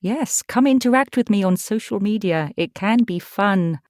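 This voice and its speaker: A woman's voice: medium pitch, animated, moderate pace, a little mellow, no room sound, studio quality. A woman from East Anglia with an English accent, chatting casually as a podcast guest in a playful, self-deprecating way. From East Anglia, England. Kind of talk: casual chat.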